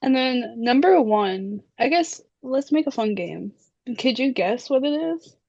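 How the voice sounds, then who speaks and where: Young woman, slightly hoarse, giggly casual chat, somewhat high voice, dry muffled sound. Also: expressive, darkish tone, no echo. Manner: giggly, chatty, drawn-out fillers. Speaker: podcast co-host.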